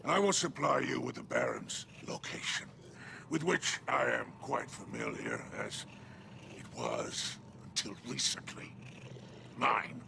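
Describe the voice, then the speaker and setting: An actor playing a sly, scheming male alien crime lord in a video game, gravelly and mocking, with a theatrical drawl. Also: medium pitch, huge pitch swings, slow, noisy background, full character voice. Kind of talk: video game cutscene acting.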